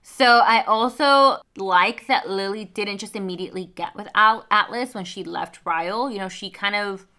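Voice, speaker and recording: A woman's voice: medium pitch, moderate pace, hardly any pauses, pushed and bright. A young woman from Mexico whose first language is Spanish, chatting casually through a book review with lots of fillers and asides. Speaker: book vlogger.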